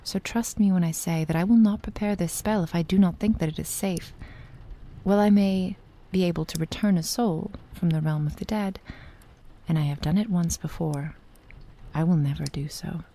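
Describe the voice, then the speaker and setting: A woman playing an ancient, loving, possessive witch in an ASMR roleplay, speaking softly and formally with deliberate pauses, often slipping into a whisper. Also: fairly low pitch. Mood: protective, serious. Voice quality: soft, breathy, often dropping to a whisper. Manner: slow, formal archaic phrasing, deliberate pauses. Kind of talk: ASMR roleplay, soft intimate acting.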